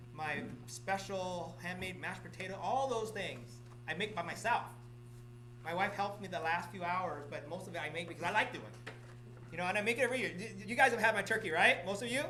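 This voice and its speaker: A man's voice: high voice, brisk, sharp tone. Man from Vietnam, middle-aged, office meeting talk, repetitive "okay?", faint noisy hum. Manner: repetitive, coaxing, checks in with "okay?" often. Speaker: real estate office manager or broker.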